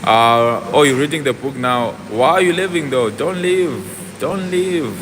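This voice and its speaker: A man's voice: mid-range voice, lively intonation, a little slow, background noise. A young man on a casual fitness livestream, chatting to viewers and answering their comments aloud as he talks. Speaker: fitness vlogger.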